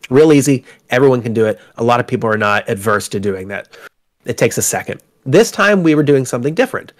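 A man's voice: medium pitch, animated, quick pace. A man with a congested, slightly sick voice, talking casually as a guest about running his tabletop game company, with many fillers and restarts. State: congested from a cold. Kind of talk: casual interview conversation. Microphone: home microphone on a call.

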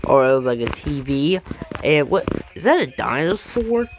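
A gaming channel host giving a casual video game tour, thinking aloud in an exclamatory, animated way. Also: mid-range voice, normal speed, faint music behind.

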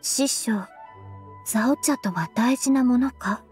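Literal-minded young woman, high pitch, deadpan, formal, game dialogue, faint music. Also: slow pace. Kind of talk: Japanese video game voice acting.

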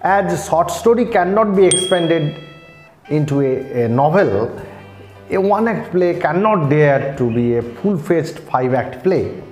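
A man in his forties with an Indian Bengali accent delivers a scripted literature lecture in a formal, deliberate, halting way. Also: fairly high pitch, expressive, darkish tone, soft background music. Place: small bare-walled indoor room. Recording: echoey room.

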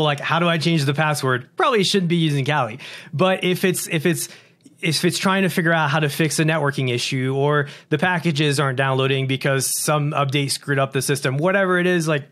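A man in his thirties from France, a guest founder, telling stories with animated bursts and acted-out dialogue, on a broadcast mic. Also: on the high side. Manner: animated, quick bursts, acts out quoted dialogue. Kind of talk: casual interview storytelling. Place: home studio with posters and lamp. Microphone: large broadcast mic on boom arm, over-ear headphones.

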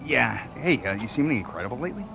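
An actor playing a boastful, pushy American paparazzo in a game cutscene, excitable, wheedling and stammering. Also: male voice, medium pitch, very fast, gravelly, music in the background. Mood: eager. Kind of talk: video game cutscene acting.

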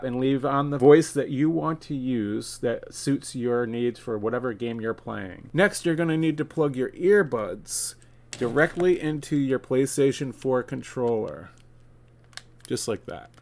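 A man in his forties presenting a casual step-by-step tech tutorial, plain-spoken and speaking directly to viewers. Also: medium pitch. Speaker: video creator, tutorial presenter. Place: indoor room, seated at a desk.

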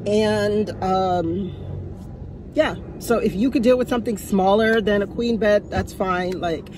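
A woman's voice: mid-range voice, a little slow, a little mellow, slight background noise, rough recording. A woman in her fifties giving casual advice, talking into a phone held at arm's length in a parked car. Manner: chatty, chuckling, repeats for emphasis. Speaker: vlogger. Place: parked car, sunny day.

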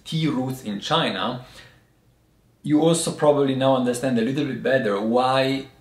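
Casting: thirties male teacher, strong foreign accent, gravelly tone, halting restarts, echoey room. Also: medium pitch. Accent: foreign accent in English. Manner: halting, many restarts, gestures with hands. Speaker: tea teacher. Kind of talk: casual video lesson. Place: small room indoors by a lamp.

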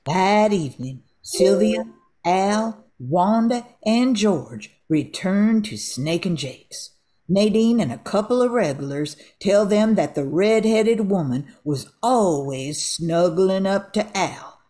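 A woman's voice: medium pitch, expressive, takes it slowly, mellow. An older woman reading scripted narration for an amateur radio drama.

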